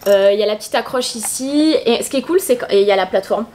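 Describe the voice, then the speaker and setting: A woman's voice: on the high side, fast talker, darkish tone, a little hiss or hum. A woman vlogger with a stuffy, cold-congested voice, chatting casually about her purchases in a rambling way full of hesitations. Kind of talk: casual shopping haul chat.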